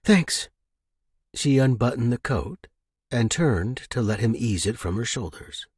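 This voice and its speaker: A man's voice: medium pitch, normal speed, darkish tone, husky, dry recording, clean studio sound. A man hosting a fiction podcast and narrating short stories. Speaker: podcast host and story narrator. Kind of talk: casual intro and scripted audiobook narration.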